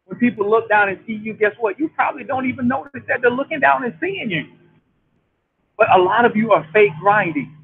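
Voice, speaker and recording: A man in his late forties delivers a motivational talk, hammering his points home by repeating them. His voice sits high and sounds husky. It comes through a thin, tinny phone line. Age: 40s. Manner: preachy, emphatic and repetitive. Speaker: show host.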